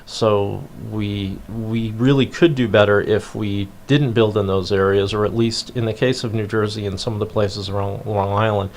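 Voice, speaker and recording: A man explains his view in an interview with a somewhat low voice. His delivery is halting, and there is faint ambient noise behind him. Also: steady tone, darkish tone.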